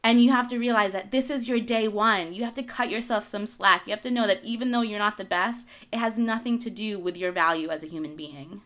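A young woman with a bright, forward voice co-hosts a podcast. She talks in a loose, chatty way, laughs often and trips over her own words. She grew up in Argentina. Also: mid-range voice, brisk, few pauses. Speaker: podcast co-host. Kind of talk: casual chat.

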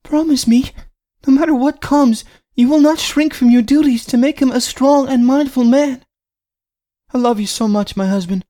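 A man's voice: very high voice, normal speed, dark tone, pitched above the performer's own voice. A man voicing a devoted, pregnant young wife who speaks earnestly and pleads with her husband. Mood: pleading.

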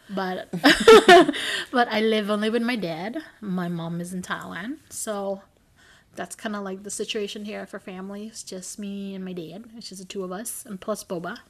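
A young woman in a medium-pitched voice talks her way through a casual podcast chat. She rambles, corrects herself mid-sentence and breaks into giggles. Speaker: podcast co-host.

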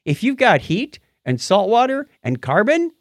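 A man with a fairly high, very animated voice co-hosts a casual show. He chats and jokes his way through items in an informal news-banter style. The sound is close and dry. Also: normal speed, no room sound. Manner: jokey, chatty. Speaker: podcast co-host.

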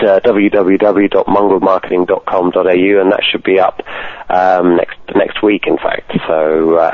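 A man's voice: low-pitched, dry recording, phone-quality sound. A man from Ireland with a posh British-sounding accent, a direct marketer speaking as a guest in a podcast interview, hesitant with many ums and restarts.

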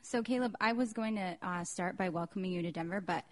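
A woman's voice: fairly low pitch, fairly quick. A woman with an American accent hosting a news and culture podcast in a casual, chatty style. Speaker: podcast host.

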